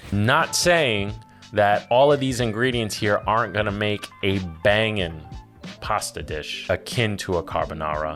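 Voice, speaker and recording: A middle-aged man, a professional chef, giving casual, profane, joking commentary as he reacts to a cooking video. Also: fairly low pitch. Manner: blunt, profane, animated and joking.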